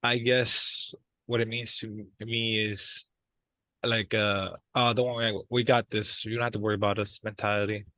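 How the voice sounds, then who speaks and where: A man's voice: on the low side, flat delivery, normal speed, sharp tone, no room sound, rough recording. An actor playing an earnest younger brother in a therapy session, groping for words as he speaks. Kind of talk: improvised dramatized therapy session.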